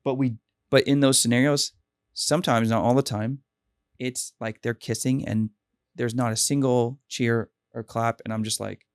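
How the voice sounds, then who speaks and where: A man hosting a casual podcast chat about weddings as a DJ, telling lively stories and acting out what people said. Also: medium pitch, moderate pace, clear, resonant tone, no echo, clean studio sound. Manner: animated storyteller, acts out quotes.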